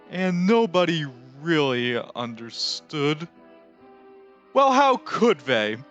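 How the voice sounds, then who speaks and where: A performer voicing a lanky skeleton Pumpkin King from an animated musical, grandiose, excitable and melodramatic, with sweeping theatrical delivery. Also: mid-range voice. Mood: anguished, mournful. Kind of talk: fan re-recording of animated musical film lines.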